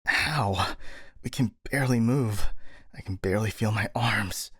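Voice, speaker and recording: Skeptical, flustered male ninja voiced by a man for ASMR audio drama; lowish pitch, sighing delivery, very dry close sound. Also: measured pace, a bit bright, breathy edge, no room sound. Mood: skeptical, frustrated.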